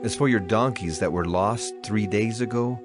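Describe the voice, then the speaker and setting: A man's voice: fairly low pitch, normal speed, lightly acted. An actor playing a stern, authoritative male prophet in a dramatized scripture, solemn and declamatory. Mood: assured, solemn. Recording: music behind the voice.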